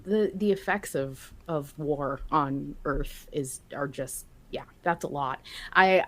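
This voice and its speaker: A woman hosts a podcast in a slightly low-pitched voice. She speaks in an informal, chatty style, as in a relaxed discussion with a co-host.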